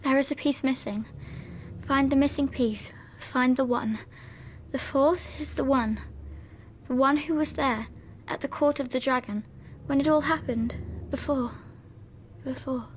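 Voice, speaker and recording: An actress playing an eerie girl seer in a video game, giving cryptic warnings in a halting, urgent manner. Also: high-pitched, fairly even intonation, slight background noise. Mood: urgent foreboding. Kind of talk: video game cutscene acting.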